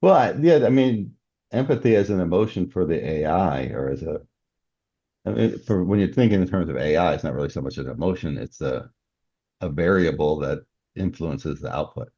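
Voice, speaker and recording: A man with a gravelly, husky voice talking ideas through speculatively as an interview guest. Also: low voice, expressive, moderate pace, warm tone, no room sound. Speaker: guest, novelist. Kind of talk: conversational interview discussion. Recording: dull, muffled dry recording.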